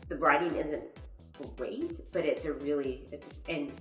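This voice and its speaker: Woman in her 30s, low pitch, chatty interview guest, heard from a distance in an echoey room. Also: measured pace, a bit bright. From Dallas, USA. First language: Spanish. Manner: chatty, laughs often, trails off mid-sentence. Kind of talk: casual interview chat. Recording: echoey, from far off, faint music.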